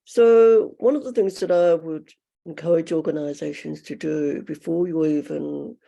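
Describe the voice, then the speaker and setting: A woman answering as a panellist over a video call, hoarse and croaky after an illness, speaking earnestly and hesitantly. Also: low voice, moderate pace, mellow, highs cut off.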